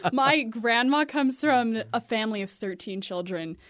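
A woman's voice: on the high side, animated, measured pace, dry recording. An American woman chatting casually in a studio and reminiscing, chuckling as she talks. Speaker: radio co-host or studio guest.

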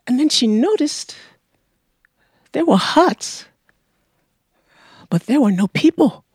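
A woman storyteller narrating a folktale dramatically, expressive and rhythmic, repeating words for effect. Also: sits high, slow pace, slightly rough, dry recording, clean studio sound.

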